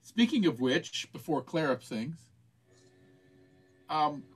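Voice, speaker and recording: A man's voice: a little high, very animated, slow pace, slightly rough. A man hosting a casual video call gathering, joking with friends. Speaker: host. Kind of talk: casual chat. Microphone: headphones on a video call.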